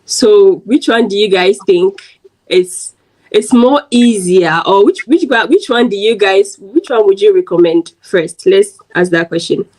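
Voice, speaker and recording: A woman's voice: mid-range voice, expressive, a little slow, a little mellow, poor audio. A woman from Ghana hosting a casual chat on her video channel, talking in a chatty way with many "like" fillers. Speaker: host and interviewer.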